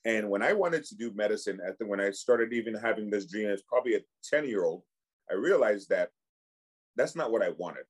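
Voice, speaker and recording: A man's voice: fairly low pitch, lively intonation, breathy edge. A man from Cameroon in his forties, a physician and clinic founder, speaking as a guest in a casual podcast chat and often restarting his sentences. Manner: halting, many restarts and repeated words.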